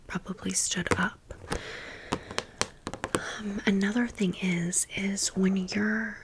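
A woman's voice: medium pitch, fairly even intonation, slow, heavy background noise. A young adult American woman whispering a casual ASMR storytime close to the microphone, rambling with sassy, emphatic asides. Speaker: ASMR video host.

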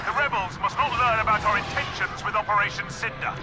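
An actor playing a ruthless, pompous Imperial admiral in a video game, commanding and demanding obedience. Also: male voice, high-pitched, fast talker, natural voice. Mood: imperious, grave. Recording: loud orchestral music and engine noise behind. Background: loud music, spacecraft sounds.

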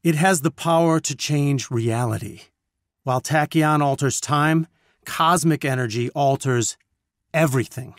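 Earnest, serious scientist leader played by an actor. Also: male voice, fairly high pitch, a little slow, no room sound, clean studio sound, close to an everyday voice. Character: weary, earnest male scientist resistance leader. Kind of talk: video game voice acting.

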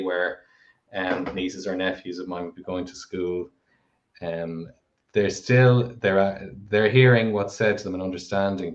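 A middle-aged man with a very deep, even voice talks through viewer questions on a video call. He is measured and reflective, often pausing with "I suppose". The headset audio sounds dull and lo-fi. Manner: measured, thoughtful, with frequent "I suppose". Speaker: co-host of a live Q&A. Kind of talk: live-stream Q&A answering viewer questions. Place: home room with a plant behind.

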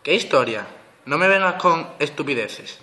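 A man's voice: on the high side, lively intonation, normal speed, pushed and bright. A man playing a skeptical, impatient police officer, questioning brusquely in an amateur audio drama.

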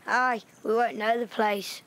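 A woman voicing a thoughtful young boy, speaking softly in a radio play, with faint music behind. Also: a little high, level tone, measured pace. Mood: wistful. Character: young boy, quiet and thoughtful, missing his father.

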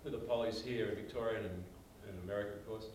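A man's voice: fairly low pitch, fairly even intonation, quick pace, a little mellow, a little hiss or hum. An older Australian man speaking as a panelist, telling stories emphatically in a panel discussion. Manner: emphatic storyteller, gestures while talking. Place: small meeting room. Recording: echoey room from far off.

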